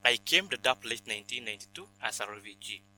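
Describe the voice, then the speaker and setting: A man's voice: on the low side, fast talker, sharp tone, slight background noise, rough recording. A man, answering interview questions earnestly and listing things with frequent "you know". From Somalia, raised in Kenya. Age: 20s. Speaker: podcast guest.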